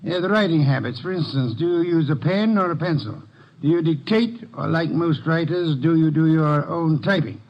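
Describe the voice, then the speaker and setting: An actor playing a pompous, shrewd detective with precise, formal speech in 1950s radio drama style. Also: male voice, fairly high pitch, moderate pace, close to an everyday voice. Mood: haughty. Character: pompous, shrewd, immovable male detective genius. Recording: dull vintage radio recording.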